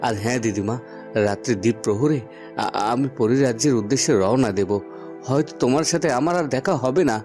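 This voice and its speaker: An earnest young cartoon prince voiced by a man, mid-pitched and devoted. His delivery halts and repeats for dramatic weight, with music underneath. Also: a little mellow, close to an everyday voice. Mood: reassuring determination. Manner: halting, repeats words, earnest.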